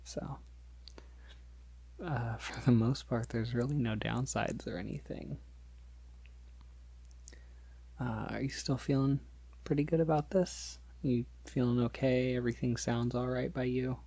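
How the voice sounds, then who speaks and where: A man playing a polite, gentle vampire in an ASMR roleplay, speaking softly and hesitantly, often breathy and near a whisper. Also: medium pitch, fairly even intonation, normal speed, no room sound. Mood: gentle, reassuring. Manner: hesitant, reassuring, softly chuckling. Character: polite, awkward, gentle young male vampire. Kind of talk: ASMR roleplay, soft-spoken and intimate.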